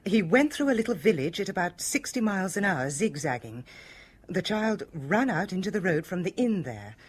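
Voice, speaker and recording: A woman's voice: lowish voice, sing-song, normal speed, slightly rough. A woman in a radio drama playing an anxious, genteel British wife, well-spoken and hesitant. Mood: tense, recounting.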